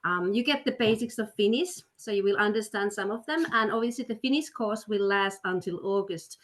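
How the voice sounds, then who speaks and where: A woman's voice: mid-range voice, animated, fairly quick. A woman hosting an education webinar, upbeat and persuasive, with frequent fillers. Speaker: webinar host, study counselor. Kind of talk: webinar presentation. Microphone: video call.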